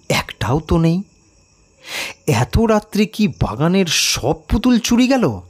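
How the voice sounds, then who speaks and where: Slow, animated male storyteller. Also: fairly high pitch. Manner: expressive, suspenseful, with rhetorical questions. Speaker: audio story narrator. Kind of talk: dramatic first-person storytelling of an eerie mystery tale.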